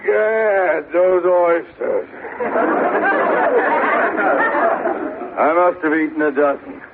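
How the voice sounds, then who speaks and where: An American actor playing a jovial, teasing older boss in a 1940s radio comedy. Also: male voice, fairly high pitch, measured pace, a loud crowd. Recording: tinny, very noisy radio with audience.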